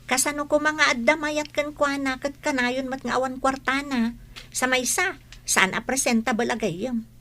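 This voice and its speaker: Sharp, high-pitched mocking schoolmate. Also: female voice, fairly even intonation, moderate pace. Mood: needling. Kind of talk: Ilocano radio drama acting.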